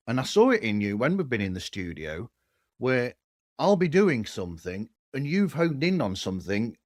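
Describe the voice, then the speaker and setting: A man's voice: mid-range voice, no echo. A man hosts a casual podcast chat. His voice sits in the middle of the male range, recorded very dry and close with little room sound. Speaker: podcast host.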